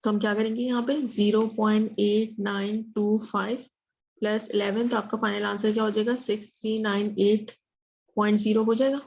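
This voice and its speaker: A woman's voice: mid-range voice, level tone, moderate pace, a little mellow, slight background noise. A woman teaching an interactive statistics lesson, asking questions and checking understanding, mixing in English phrases. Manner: mixes English phrases into speech. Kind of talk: interactive online math lesson. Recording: dull lo-fi audio.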